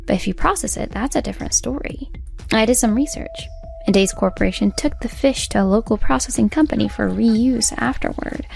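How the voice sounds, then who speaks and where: A woman's voice: on the high side, fairly quick, gravelly, darkish tone, faint music behind. A woman voicing an earnest, assertive young female store manager in a comic dub, speaking emphatically. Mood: firm, challenging. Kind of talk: dubbed comic voice acting.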